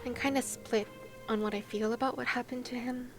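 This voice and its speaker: A young adult woman chatting casually as a game streamer, with an expressive, giggly, reactive way of talking. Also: fairly high pitch, a little fast. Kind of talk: casual game livestream chat. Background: faint game music.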